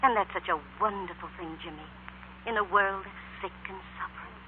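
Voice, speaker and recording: An actress playing a gentle, loving middle-aged mother with tender, measured delivery in 1940s radio drama style. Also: medium pitch, natural voice. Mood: solemn. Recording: tinny, noisy, humming 1940s radio recording.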